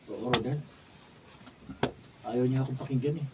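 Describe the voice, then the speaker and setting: A man's voice: mid-range voice, moderate pace, close to an everyday voice. A Filipino man playing an earnest, lovesick young student with a pleading manner in a radio drama. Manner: pleading, sentimental. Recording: muffled, distant mic, noisy room.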